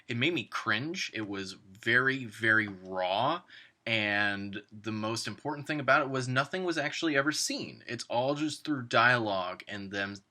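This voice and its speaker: Man with a very bright, forceful voice. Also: medium pitch, lively intonation, normal speed. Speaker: actor reviewing a script. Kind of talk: informal spoken review of a script.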